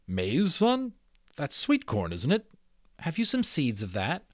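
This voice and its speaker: A man voicing a friendly shopkeeper in a storybook reading, folksy and addressing a boy as son, his voice pitched up high. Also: wildly swooping pitch, measured pace, dry recording, studio quality. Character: gruff friendly male shopkeeper.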